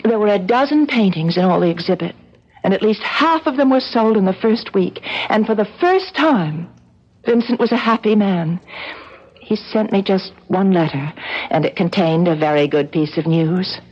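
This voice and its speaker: A warm, loyal gallery woman in a vintage radio drama. The delivery is earnest and expressive, with an encouraging temper. The sound is dull and lo-fi. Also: mid-range voice, normal speed, slightly rough. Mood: reflective.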